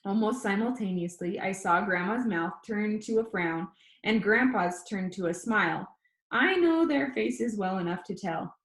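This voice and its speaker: A woman reading a children's novel aloud like a teacher, in an informal, expressive way. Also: mid-range voice, unhurried. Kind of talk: storybook narration read aloud. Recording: echoey room.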